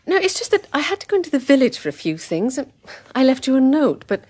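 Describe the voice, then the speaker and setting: A well-spoken young wife in an old radio play. Her voice is high and breathy, rising and falling a great deal as she talks politely and with curiosity. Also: big pitch swings, fast talker, darkish tone. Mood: puzzled. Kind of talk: radio drama acting.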